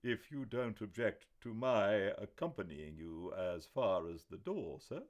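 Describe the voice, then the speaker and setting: A man's voice: on the low side, animated, measured pace, pitched above the performer's own voice. A man voicing a stiff, proper older butler who speaks with formal, deferential politeness.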